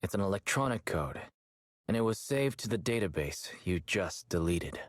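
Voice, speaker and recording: An actor playing a calm, analytical, quietly cocky young man in a video game, with a husky voice. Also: on the low side, moderate pace, no room sound, clean studio sound. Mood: calm, matter-of-fact. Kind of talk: English video game voice acting.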